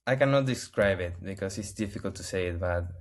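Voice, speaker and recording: Argentine guy, spanish is his first language, low voice, keeps restarting and hunting for english words. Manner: halting, searching for English words with many restarts. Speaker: interview guest. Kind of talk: casual interview answers.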